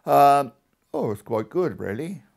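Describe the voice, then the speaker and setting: A man's voice: medium pitch, big pitch swings, unhurried, vocal fry, darkish tone. An older man reminiscing about childhood Christmases in a seated interview in a living room.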